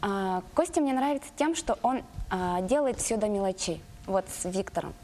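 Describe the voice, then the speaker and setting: An actress with a Yakut accent answering interview questions hesitantly, with many fillers. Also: female voice, medium pitch, lively intonation, moderate pace, darkish tone, a little hiss or hum.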